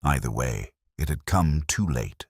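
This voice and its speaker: A man playing a weary, melancholy older police inspector, narrating a mystery drama in a measured, wry, literary manner. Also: very deep voice, lively intonation, husky, dry recording, clean studio sound, full character voice. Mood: weary, reflective. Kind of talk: slow literary first-person mystery narration.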